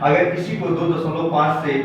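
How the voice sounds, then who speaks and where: A man's voice: a little high, fairly even intonation, normal speed. Echoey, distant man teaching. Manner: emphatic, repetitive, directly addressing students. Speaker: exam coaching teacher. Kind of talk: informal explanatory lecture on exam figures. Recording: very echoey room, distant muffled mic, noisy.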